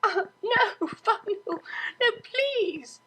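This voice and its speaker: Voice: extremely high pitch, lively intonation, extremely slow, pushed and bright, a little hiss or hum, pitched above the performer's own voice, exaggerated voice. A performer voicing a refined young mare who plays cello, prim and easily flustered, in an audio story. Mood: squealing, flustered. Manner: prim, formal phrasing.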